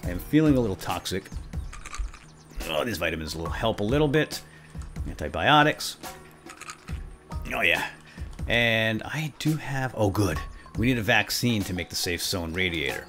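A man's voice: lowish voice, expressive, normal speed, long pauses, a bit bright, soft background music. A man hosting a gaming let's play, giving playful, exuberant commentary as he talks to himself and viewers. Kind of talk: playful game commentary with light role-play.

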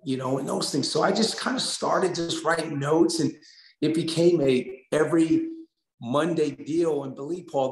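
A middle-aged American man, a coach giving earnest, encouraging answers in a conversational interview over a video call. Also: mid-range voice. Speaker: interview guest, assistant basketball coach. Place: small indoor room on a video call. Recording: roomy, echoing room sound.

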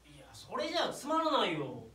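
A man's voice: very high-pitched, wildly swooping pitch, fairly quick, bright, forceful tone, full character voice. A man playing a playful, restless young climber with a silly, eager manner in a live stage comedy sketch. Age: young adult. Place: small theatre stage, indoors. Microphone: far camera. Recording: distant, echoey theatre recording.

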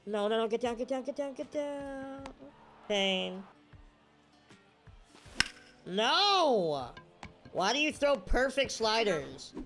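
Young man, headset mic, stuffy nose, excitable, yells at the game, slangy, game music behind. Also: very high voice, slow, pauses often. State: congested, slight cough. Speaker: streamer. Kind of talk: casual gameplay commentary.

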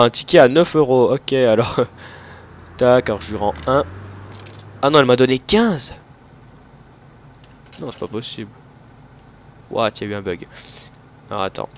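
A man's voice: mid-range voice, measured pace, long pauses, slight background noise. A young man with a blocked nose from hay fever, chatting casually and rambling over gameplay, with many fillers and self-corrections. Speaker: gaming video host.

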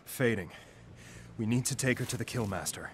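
An actor playing an earnest young rebel warrior leader in a video game, solemn, urgent and dramatic. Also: male voice, mid-range voice, normal speed, a little hiss or hum, close to an everyday voice. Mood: grave, urgent. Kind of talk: video game cutscene acting.

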